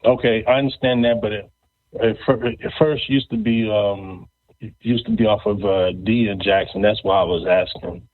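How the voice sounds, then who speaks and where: A man hosting a casual interview show, speaking haltingly and often repeating words. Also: fairly low pitch, fairly even intonation. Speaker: podcast host. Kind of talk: casual interview and event announcements. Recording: thin phone-like, band-limited audio.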